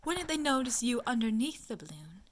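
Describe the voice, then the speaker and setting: A woman's voice: fairly high pitch, big pitch swings, a little fast. A woman reading the lines of a curious, practical young boy in a storybook, in plain short questions.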